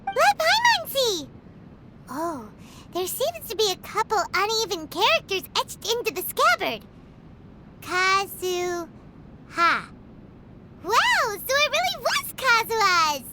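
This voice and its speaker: Squeaky, very high little fairy girl. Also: slow pace, long pauses, heavy background noise, heavily acted. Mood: curious, excited. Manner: speaks of self in third person, squeaky, expressive. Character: tiny excitable childlike fairy girl companion. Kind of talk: video game voice acting.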